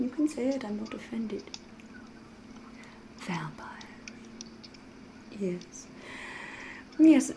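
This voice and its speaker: A woman acting as ancient vampire woman, ASMR roleplay, very slow, soft whispery voice, coy and ominous, noisy ambience behind. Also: mid-range voice, lightly acted. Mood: teasing, ominous. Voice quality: breathy, soft, often whispering. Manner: coy, deliberate, ominous, theatrical, drawn-out phrasing.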